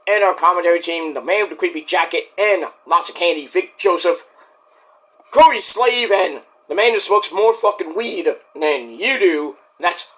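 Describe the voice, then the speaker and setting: Bright-voiced hoarse man reviewing wrestling. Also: sits high, moderate pace. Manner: rambling, jokey, with bursts of shouting. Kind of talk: casual solo review.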